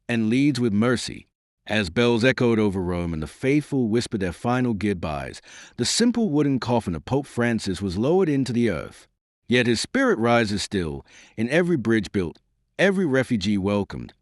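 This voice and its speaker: A man reading a scripted documentary tribute in a solemn, reverent and measured rhetorical way. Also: on the low side, no room sound, studio quality. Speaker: narrator.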